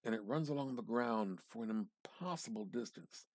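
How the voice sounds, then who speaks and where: A man gives a dramatic reading of literary fiction, deliberate and intense, halting for dramatic pauses. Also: mid-range voice, animated, dry recording. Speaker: amateur reader.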